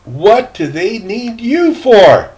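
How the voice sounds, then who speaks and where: A man's voice: high voice, very animated, slow, a little mellow, pitched above the performer's own voice, heavily acted. A man voicing a curious, bewildered young lad who asks naive questions in a storybook. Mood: curious, doubtful. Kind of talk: acted storybook dialogue.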